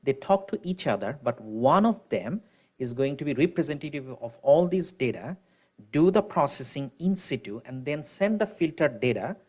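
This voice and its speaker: A man on a panel explaining technical concepts, often pausing with "you know" and restarting his sentences. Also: fairly high pitch, big pitch swings, darkish tone.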